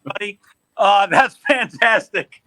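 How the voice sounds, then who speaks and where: Male American sports podcast host, fairly high and sharp-toned, joking and conversational. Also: animated, takes it slowly. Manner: joking, teasing. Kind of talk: casual sports chat.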